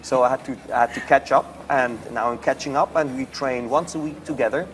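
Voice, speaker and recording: A man's voice: medium pitch, brisk, slight background noise, poor audio. Swiss-accented man, casual athlete guest. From Switzerland. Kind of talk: casual storytelling interview. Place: TV studio.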